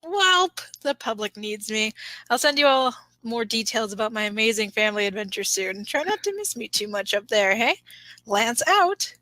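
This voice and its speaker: Female performer as cocky young man, theatrical, playful, forceful bright tone, dry recording, fan fiction drama. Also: on the high side, very animated, normal speed, no room sound, rough recording. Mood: breezy, cocky. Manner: theatrical, showy, self-important.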